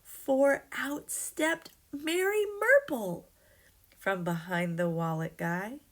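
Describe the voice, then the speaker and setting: A woman reading a rhyming children's story aloud in an expressive, dramatic way. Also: medium pitch, slow.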